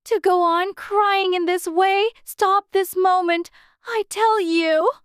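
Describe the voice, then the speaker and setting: Little girl, extremely high pitch, childlike, curious, dramatic self-talk, storybook audiobook, dry sound. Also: steady tone, slow, no room sound. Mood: scolding herself. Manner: talks to herself, musing and exclaiming.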